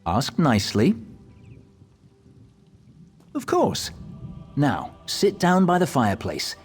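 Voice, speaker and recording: An actor voicing a whimsical, coy gatekeeper who tells stories theatrically in a fantasy game. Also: male voice, medium pitch, wildly swooping pitch, slow, slightly rough, soft background music, exaggerated voice. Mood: playfully instructive. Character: whimsical, courteous-demanding male gatekeeper and storyteller. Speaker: storytelling gatekeeper.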